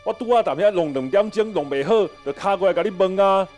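A man's voice: high voice, quick pace, a little mellow, soft background music, close to an everyday voice. A man with a Taiwanese accent playing a brusque, impatient, grumbling guest in a comedic audio drama.

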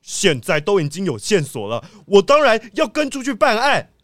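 An actor playing an eager, slightly slow male police squad leader, excitable and blustering, in a children's audio drama. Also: medium pitch, no echo, clean studio sound.

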